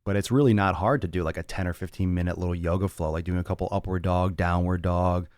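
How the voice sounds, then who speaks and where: Deep-voiced blunt fitness podcast host. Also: male voice, fairly even intonation, quick pace, a little mellow, dry recording, clean studio sound. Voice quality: raspy on some days. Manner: blunt and profane, rambling with restarts. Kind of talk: casual solo talk answering listener chat.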